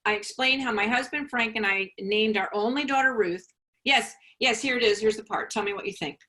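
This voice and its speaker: A woman's voice: a little high, a little fast, bright, forceful tone. A woman playing a placating, self-absorbed mother with a career in law, in a staged reading of a play. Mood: eager, coaxing.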